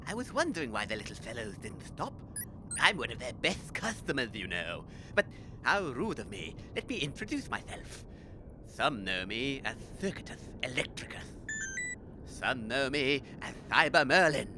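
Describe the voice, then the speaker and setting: A man's voice: mid-range voice, sing-song, normal speed, background noise, close to an everyday voice. An actor playing an eccentric, self-important male techno-wizard in a comedy audio drama, with flowery, pompous manners. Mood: grandly courteous.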